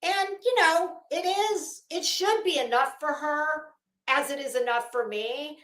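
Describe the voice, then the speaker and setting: A woman ranting casually as an online host, blunt and sarcastic, swearing freely and halting to stretch words for emphasis. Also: fairly high pitch, fairly bright tone. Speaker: YouTube host. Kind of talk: casual livestream rant. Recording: echoey room.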